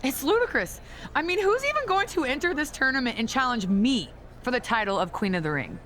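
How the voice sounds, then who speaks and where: A haughty, animated wrestling queen. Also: sits high, brisk, a little hiss or hum. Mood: indignant. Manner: pompous, indignant, condescending. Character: haughty, self-crowned female wrestling queen, entitled and scornful. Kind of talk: video game cutscene acting.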